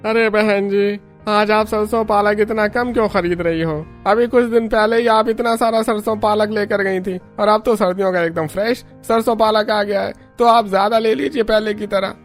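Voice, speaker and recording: A woman's voice: mid-range voice, steady tone, moderate pace, few pauses, soft background music, natural voice. A woman voicing a friendly, chatty market vegetable seller in a cartoon story, coaxing a customer. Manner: coaxing sales talk.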